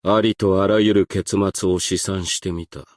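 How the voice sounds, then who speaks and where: A man voicing a composed, stern, ancient strategist and Go master for a game, with formal, archaic, measured and reserved diction. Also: sits low, fairly even intonation, no echo, clean studio sound. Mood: solemn, resigned. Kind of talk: scripted game character voice lines.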